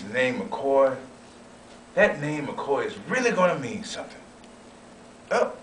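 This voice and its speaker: Roomy distant recording, man acting high-voiced young oilman and inventor, proud, eager, folksy, period sketch. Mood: frustrated. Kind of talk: amateur read-through of a period sketch.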